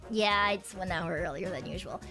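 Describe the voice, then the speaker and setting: A woman's voice: on the low side, moderate pace, pushed and bright, faint music behind. A woman chatting casually on a live stream with a slight frog in her throat. She rambles, swears casually and laughs often.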